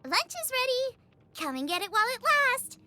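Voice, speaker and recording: A woman voicing a bubbly, eager, food-obsessed young woman chef in an expressive video game style, chatty and full of exclamations. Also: very high-pitched, normal speed, full character voice. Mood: cheerful, inviting. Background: music.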